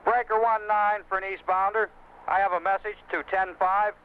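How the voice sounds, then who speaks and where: A businesslike trucker relays messages in clipped CB jargon. The voice is high for a man and comes muffled over the radio. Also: flat delivery, normal speed, slight background noise. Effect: CB radio filter. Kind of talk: scripted CB radio demonstration dialogue.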